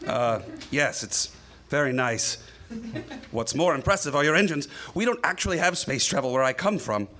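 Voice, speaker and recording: A man's voice: mid-range voice, animated, normal speed, sharp tone, slight background noise. A man playing a polite, bewildered scientist who speaks formally and earnestly in a sci-fi comedy radio play. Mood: curious.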